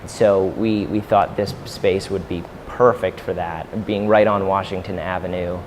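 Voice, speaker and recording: A man's voice: low-pitched, fairly even intonation, unhurried. A man in his thirties from St. Louis, a startup incubator co-founder who answers interview questions hesitantly, with many fillers. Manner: hesitant, full of fillers and restarts. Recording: faint background noise.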